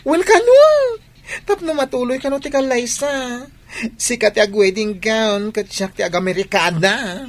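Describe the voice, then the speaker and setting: A woman's voice: on the high side, normal speed, close to an everyday voice. A woman playing a stubborn young sweetheart in an Ilocano-accented radio drama. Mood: bickering. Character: stubborn, teasing young sweetheart planning to marry.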